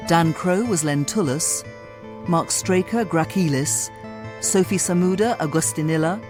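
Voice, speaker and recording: A radio announcer delivers scripted drama credits in a formal broadcast manner. The voice is medium in pitch, with plucked guitar music playing clearly behind it. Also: measured pace. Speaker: continuity announcer reading cast credits.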